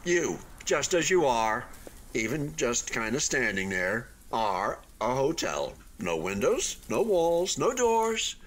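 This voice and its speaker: A man's voice: medium pitch, big pitch swings. A man in a radio play voicing an unfinished hotel building, proudly deluded and eagerly optimistic, with a theatrical delivery that halts and then gushes. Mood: upbeat, hopeful. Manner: theatrical, halting then gushing, swooping emphasis.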